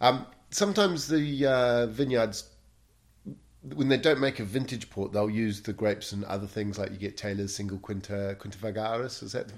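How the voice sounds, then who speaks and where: A man's voice: fairly low pitch, moderate pace. A man hosting a wine show interview, chatty and a bit hesitant as he asks questions. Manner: chatty, hesitant, fills gaps with uh and um. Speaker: podcast host. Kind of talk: casual interview.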